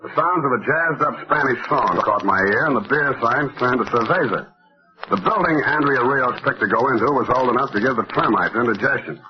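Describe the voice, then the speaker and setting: A man's voice: medium pitch, fairly quick, crowd noise, close to an everyday voice. Guy playing cocky american gumshoe, old radio show, muffled sound, glib wisecracks, wordy noir narration. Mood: wry narrating. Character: wisecracking hard-boiled male private detective, cocky and flirtatious. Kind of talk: old-time radio detective acting, dictation and narration. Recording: dull lo-fi old radio recording.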